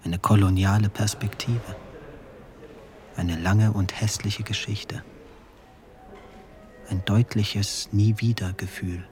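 A man reciting poetic, scripted radio play text in deliberate short phrases. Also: lowish voice, little pitch movement. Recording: clear music under the voice. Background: background music.

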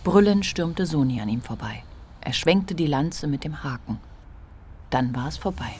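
A woman reading scripted literary narration for a radio play in a measured, sober manner. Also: low voice, animated, noisy background. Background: faint music.

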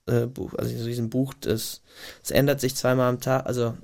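An American man talks in a radio studio in a language that isn't his first, with a gravelly edge to his voice. He stops to think, restarts his phrases and laughs now and then. His voice sits at a middle pitch and comes across relaxed. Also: brisk. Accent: American English. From Puget Sound region, USA. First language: not German. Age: adult, past university. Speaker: radio guest. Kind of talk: casual interview talk. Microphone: studio microphone.

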